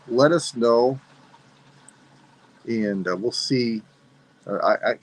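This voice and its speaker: A man of sixty-five, hosting a live sale and signing off in a relaxed, rambling way while losing his voice. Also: on the low side, low-quality recording. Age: 60s. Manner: relaxed, rambling, self-deprecating. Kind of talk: casual live stream sign-off.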